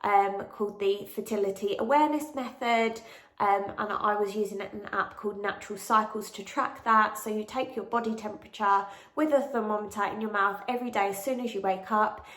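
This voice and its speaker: A woman's voice: medium pitch, a bit bright, roomy sound. A pregnant English woman in her late twenties, bunged up with a cold and a bit out of breath, chatting frankly in a Q&A vlog with lots of ums. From England. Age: late 20s. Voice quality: bunged-up, nasal. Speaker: vlogger. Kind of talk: casual pregnancy Q&A.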